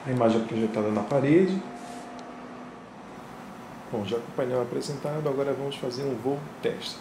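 A man calmly and methodically walking through his home flight simulator, recorded on a camera in a small room. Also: mid-range voice, moderate pace. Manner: calm, methodical, pointing things out. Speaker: flight sim hobbyist. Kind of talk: casual show-and-tell narration. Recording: roomy, with loud fan and engine noise.

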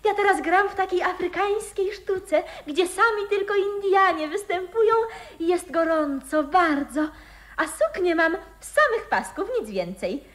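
A woman's voice: very high voice, fairly bright tone. An actress playing a flighty, vain, chattering young woman of the stage in a radio play. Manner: chattering, girlish.

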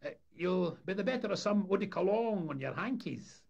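A man doing a loud, cheeky young deckhand. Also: high-pitched, animated, normal speed, creaky, highs cut off, voice pushed up, exaggerated voice. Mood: jaunty.

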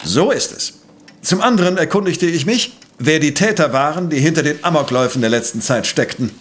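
Rational professor detective, audio drama, higher-pitched, very animated delivery, precise, emphatic, dismissive. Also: male voice, brisk. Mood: matter-of-fact, dismissive. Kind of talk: German audio drama acting.

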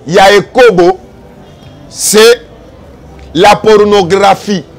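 A man with a Congolese accent preaching a fervent evangelical sermon, exhorting and accusing with repeated rhetorical questions. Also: sits high.

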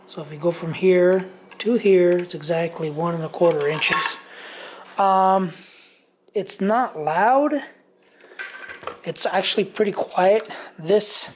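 A man's voice: high voice, slow, long pauses, slight background noise. A middle-aged man reviewing a power tool in his home garage workshop, rambling loosely with many ums and restarts. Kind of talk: casual tool review vlog.

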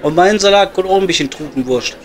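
A man's voice: high voice, fast talker, a faint crowd, close to an everyday voice. A man playing a blunt, chatty, sweary coal miner with a Ruhr accent in improvised pub comedy. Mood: chatty, joking. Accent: Ruhr area German. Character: blunt, joking working-class coal miner at his pub. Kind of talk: improvised comedy audio play, pub chat.

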